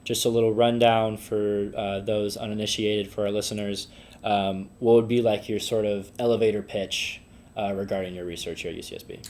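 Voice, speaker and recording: A man's voice: lowish voice, flat delivery, brisk, darkish tone. A young man from the San Francisco Bay Area hosting a podcast, chatty and eager, with lots of fillers. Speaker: podcast host. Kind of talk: casual interview chat.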